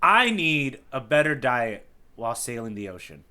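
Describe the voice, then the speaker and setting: A man's voice: mid-range voice, very animated, normal speed, pushed and bright, smooth. A man co-hosting a podcast as quizmaster, handing out clues and bantering playfully in casual chat.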